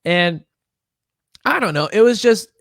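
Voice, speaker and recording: A young man from Illinois co-hosting a podcast, chatting casually, profane and animated, laughing often. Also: high voice, unhurried, creaky, no echo, clean studio sound. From Illinois, United States. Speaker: podcast co-host. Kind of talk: casual chat.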